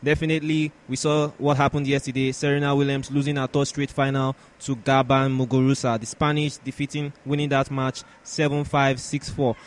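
A man's voice: medium pitch, level tone, brisk, few pauses. A man hosting a sports podcast in a conversational way, often repeating and rephrasing as he discusses and poses questions.

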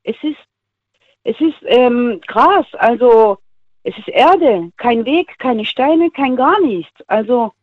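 High-voiced woman on a tinny phone line. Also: expressive, slow pace, no echo. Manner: colloquial, emphatic, repeats phrases. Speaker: radio phone-in caller. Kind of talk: casual personal storytelling. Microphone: telephone.

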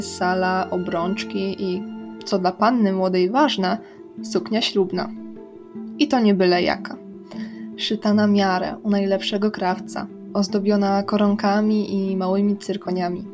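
Music plays behind a young woman with a mid-pitched voice. She hosts a radio crime programme and narrates her story from a script. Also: flat delivery, measured pace. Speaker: radio true-crime show host.

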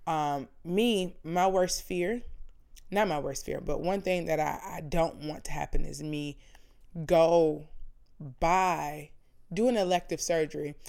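Woman in her 30s, low pitched, casual chat, says stuff firm and emphatic. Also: creaky, a little mellow, smooth. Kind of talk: casual banter in a frank, unscripted chat.

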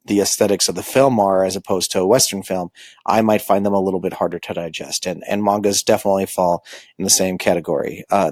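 Halting low-voiced man giving a lesson. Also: dry recording. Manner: halting, many ums and uhs. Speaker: school librarian teaching manga basics. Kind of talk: informal lecture.

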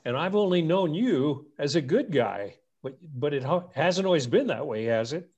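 A man's voice: fairly high pitch, expressive, moderate pace, a little mellow, slightly rough, dull audio. A man hosting a podcast, talking in a friendly, conversational way and often repeating words as he goes. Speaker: podcast host. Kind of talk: casual interview chat.

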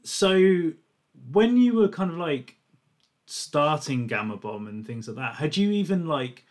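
A man interviewing a singer, asking long, halting questions full of fillers. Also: on the high side, sing-song.

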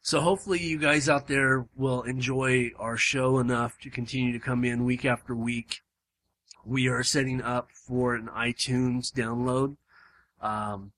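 A man hosting a casual podcast, his voice hoarse and starting to give out, rambling with lots of fillers and restarts. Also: mid-range voice, a bit bright, poor audio. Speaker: podcast host. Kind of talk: casual chat. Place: home room.

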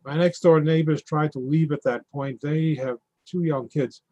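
A man's voice: on the high side, fairly even intonation, moderate pace, dark tone, dull audio. A man reading a monologue, giving a detailed street-by-street account of watching a flood. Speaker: reader performing a monologue. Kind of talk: readers theatre monologue.

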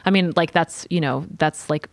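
Woman on a podcast, pretty deep voice, super casual storytelling w lots of likes, dry recording. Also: moderate pace, no echo. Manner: informal, frequent use of "like". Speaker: podcast panelist and editor.

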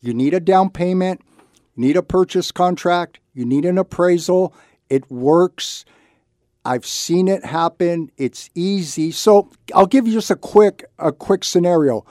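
A man's voice: high-pitched, a little slow, darkish tone, dry recording, studio quality. A man hosting a radio talk segment as a loan officer, explaining things conversationally in short, repeated, emphatic phrases. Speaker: radio show host and reverse mortgage loan officer.

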